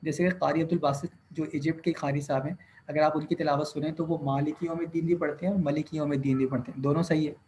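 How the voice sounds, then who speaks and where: Higher-voiced male teacher dictating notes. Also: level tone, a little mellow, slight background noise, poor audio. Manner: mixes English dictation with Hindi-Urdu explanation, slow and deliberate when dictating. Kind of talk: classroom lecture, dictating notes. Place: classroom.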